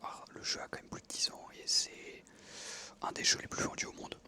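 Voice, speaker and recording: A man in his twenties whispering soft ASMR. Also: fairly quick. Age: 20s. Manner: soft unvoiced whisper throughout, relaxed rambling with many fillers. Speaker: ASMR creator. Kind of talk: whispered ASMR storytelling over gameplay.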